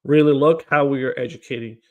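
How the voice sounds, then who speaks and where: A man's voice: mid-range voice, fairly even intonation, quick pace, dull audio. Earnest man. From Portugal. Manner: earnest, repeats words at starts of phrases. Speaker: political candidate. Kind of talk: podcast interview.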